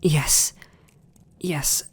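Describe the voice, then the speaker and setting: A man playing a noble, restrained vampire lord in an intimate ASMR roleplay, with a British accent and formal, hesitant, breathy delivery. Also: very slow. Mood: pleading, tender. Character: noble vampire lord, restrained, tormented, tender toward servant.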